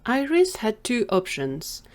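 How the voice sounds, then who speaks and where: A woman's voice: fairly high pitch, wildly swooping pitch, slow, darkish tone. A woman reading scripted story narration for an audio drama.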